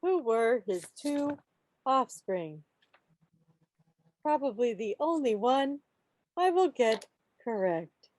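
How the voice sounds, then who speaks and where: A woman's voice: fairly high pitch, very animated, takes it slowly, pauses often, a little mellow, low-quality recording. A woman hosting a quiz at an online club meeting, chatting casually over a video call.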